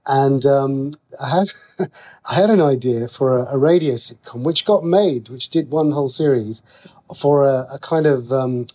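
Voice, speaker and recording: A man's voice: mid-range voice, moderate pace, warm tone. A man with a British accent talking as a guest writer in a conversational interview, explaining things in a chatty way.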